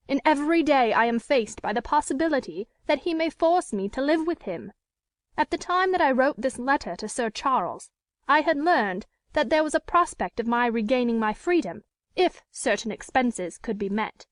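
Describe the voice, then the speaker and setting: A woman voicing a proud, anguished gentlewoman in an audiobook, speaking in an earnest, formal and emotive way. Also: high voice, lively intonation, moderate pace, no room sound, voice pushed up. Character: anguished, proud, unhappily married gentlewoman pleading her case.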